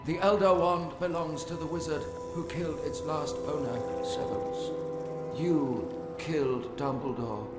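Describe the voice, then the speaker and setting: A man acts a cold dark lord in a video game. His menacing voice is on the high side, echoing beneath loud music. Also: a little slow, natural voice.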